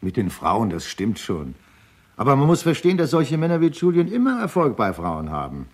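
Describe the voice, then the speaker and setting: A man's voice: mid-range voice, wildly swooping pitch, normal speed. An actor playing a loyal war friend who defends his buddy in radio play testimony. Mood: earnest, defending.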